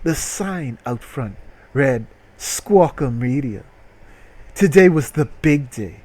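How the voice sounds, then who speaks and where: Man, higher-pitched, unhurried, comedic reading, exasperated sweary asides, self-interrupting. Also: animated, a little mellow. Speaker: streamer reading a story aloud while playing a game. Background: music.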